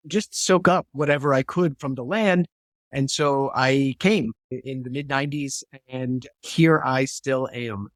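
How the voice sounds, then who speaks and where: A man's voice: mid-range voice, no room sound. A man, a guest historian giving a thoughtful interview answer over a video call, repeating words as he searches for phrasing. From New Hampshire, USA.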